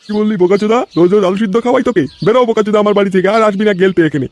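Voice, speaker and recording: A man's voice: sits high, rapid-fire, a little mellow, lo-fi sound, close to an everyday voice. A man voicing a grumbling, foul-mouthed comedy cartoon man, given to blustering exclamations. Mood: angry.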